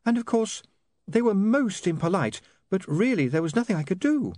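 A man's voice: high voice, very animated, moderate pace, darkish tone, no room sound, studio quality, pitched above the performer's own voice. A man voicing a nervous, well-bred young woman who hesitates and stumbles over her words. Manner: nervous, hesitant, full of ums.

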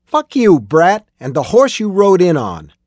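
Man playing a squawking, defiant miner, pitched high. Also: big pitch swings, measured pace, a bit bright, no room sound, clean studio sound, heavily acted. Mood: belligerent. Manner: squawking, indignant protest. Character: slight, defiant miner with shifty, belligerent temper. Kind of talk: audiobook character acting.

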